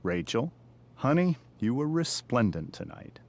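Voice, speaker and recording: A man's voice: medium pitch, very animated, a little slow. A man playing a polite, affable and composed middle-aged father in a video game cutscene. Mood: proud, agreeable.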